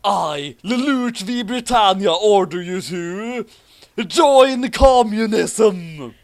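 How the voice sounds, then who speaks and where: A man's voice: high voice, expressive, extremely slow, pitched above the performer's own voice, full character voice. A man voicing a melodramatic, commanding anime anti-hero, declaiming grandly in a parody story.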